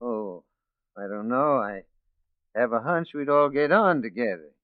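An actor playing a bitter, self-possessed ex-convict forger with a clipped, worldly manner in a 1940s radio drama. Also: male voice, medium pitch, expressive, unhurried, dry recording, natural voice. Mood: cool, polite. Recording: muffled 1940s radio recording.

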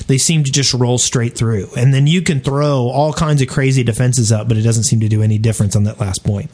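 Man, casual podcast host, mid-range pitch, rambling, self-deprecating, conversational. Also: steady tone, brisk, a little mellow. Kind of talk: casual chat about gaming and personal life.

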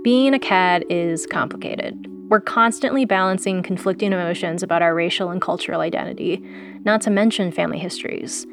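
A woman's voice: on the low side, brisk. A woman born in South Korea and raised in the US, hosting an audio documentary in a wry, sardonic voice with pop-culture slang. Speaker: producer and host. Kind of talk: scripted audio documentary narration.

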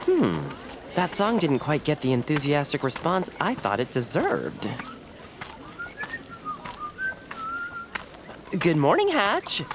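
A woman's voice: low voice, wildly swooping pitch, moderate pace, a crowd in the background, full character voice. A woman playing a cheerful, earnest young small-town hero in a musical audio drama, partly sung. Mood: chipper, puzzled. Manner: bubbly, earnest.

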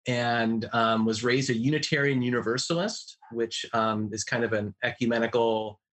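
A man in his forties from Ohio, thoughtfully telling his life story as a podcast guest, with measured pauses and fillers. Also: mid-range voice, fairly even intonation, fairly bright tone. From Ohio, USA. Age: 40s. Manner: reflective, measured, many fillers. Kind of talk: personal storytelling.

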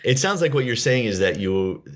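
A man's voice: medium pitch, sing-song, moderate pace, fairly bright tone. A man hosting a casual podcast chat, joking and rambling with many fillers. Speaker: podcast host.

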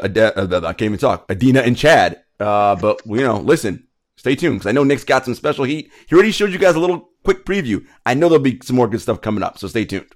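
Lively, animated young male host. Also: medium pitch. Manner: animated, laughs often, hype delivery. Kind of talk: casual podcast chat.

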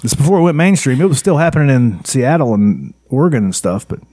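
A man's voice: medium pitch, very animated, fast talker, dark tone, dry recording, studio quality. Chuckling man on a casual podcast. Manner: laughs often, chuckling through jokes. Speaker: podcast co-host.

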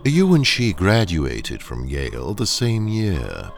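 Ruthless corporate handler in an audio drama: low-pitched, creaky, smoothly controlling. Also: male voice, wildly swooping pitch, full character voice. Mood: coaxing, falsely cheerful. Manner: slow, deliberate, coaxing. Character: ruthless, sinister male corporate training engineer, smooth and controlling.

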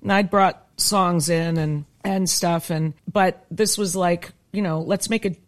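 Casting note: female singer-lyricist, lower-pitched voice, relaxed wry interview chat. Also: measured pace. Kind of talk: casual interview talk.

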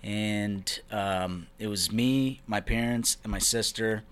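A man's voice: on the low side, slow pace, smooth. Easygoing man talking shop. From Brazil. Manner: relaxed, conversational, many fillers like "man" and "you know". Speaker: podcast guest, real estate investor. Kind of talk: casual interview talk.

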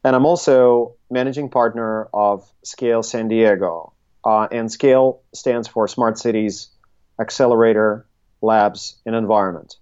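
A middle-aged man, speaking conversationally as an interview guest and haltingly thinking his way through his answers. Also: on the low side, fairly even intonation, a little mellow, clear, resonant tone, lo-fi sound. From Russia, Soviet Union. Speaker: interview guest, tech consultancy CEO. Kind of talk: conversational interview, recounting career.

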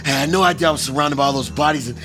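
A man's voice: on the high side, rapid-fire, natural voice. An actor playing a frightened man who was held captive, speaking in an anxious, pleading way, in an old radio drama. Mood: shaken. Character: frightened male captive turned survivor. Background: music.

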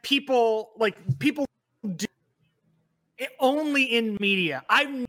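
A man's voice: extremely high pitch, takes it slowly, sharp tone. A man on a casual podcast who rambles through his points with lots of filler words. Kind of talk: casual chat.

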